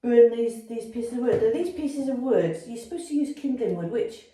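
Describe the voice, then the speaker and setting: A woman's voice: fairly high pitch, animated, normal speed, warm tone, echoey room. A woman with a cold and a nasal, blocked-up voice, chatting and rambling with lots of ums as she gives a home how-to vlog. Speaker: vlogger. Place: old house, by a fireplace indoors.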